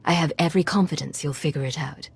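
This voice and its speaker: Husky, steely female secret agent. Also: sits low, fast talker, full character voice. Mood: composed, earnest. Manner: controlled, serious, direct. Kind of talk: cinematic video game dialogue.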